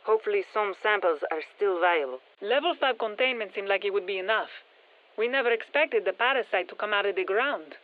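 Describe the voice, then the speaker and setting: Female scientist, Spanish accent, video game briefing, brisk and technical, mid-pitched, thin radio sound. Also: expressive, phone-quality sound. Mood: matter-of-fact. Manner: matter-of-fact, technical. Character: brisk, sharp-minded female scientist studying an alien parasite. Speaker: scientist and engineer briefing operators. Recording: thin band-limited radio, some long hall reverb.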